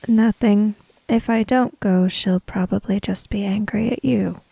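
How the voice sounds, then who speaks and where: A woman voicing a withdrawn, stubborn samurai-era woman in a tabletop game, speaking tersely and with deliberate care. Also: medium pitch, steady tone, vocal fry, warm tone, breathy edge, dry recording, rough recording. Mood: resigned.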